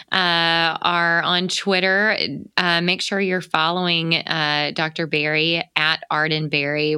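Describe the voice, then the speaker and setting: A woman's voice: lowish voice, sharp tone, clean tone, no room sound, studio quality. A woman from the American South with a Southern accent, hosting an interview podcast in a chatty, friendly way. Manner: friendly, chatty, laughs often. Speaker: podcast host.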